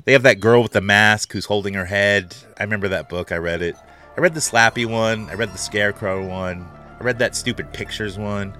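A man's voice: on the low side, brisk, soft background music. A man co-hosting a casual podcast about toys, telling rambling stories and swearing freely. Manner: swears a lot, excited, rambling storyteller. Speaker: podcast co-host.

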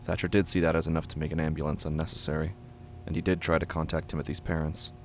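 A man reading scripted horror fiction as narrator, measured, deliberate and somber. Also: rumbling low, level tone. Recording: heavy constant background noise and hum.

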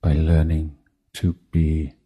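A man teaching meditation in a guided talk, speaking in measured short phrases with deliberate pauses and a husky voice. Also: a bass voice, monotone, dark tone. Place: meditation retreat.

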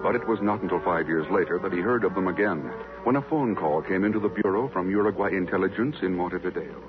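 A man's voice: low-pitched, quick pace. Tinny 1950s radio announcer, male, over music. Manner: polished, emphatic.

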